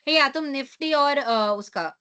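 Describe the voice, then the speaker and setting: A young woman teaching an online class, hoarse from losing her voice, who explains with rhetorical questions and a frequent tag question. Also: sits high, a little slow, bright, forceful tone.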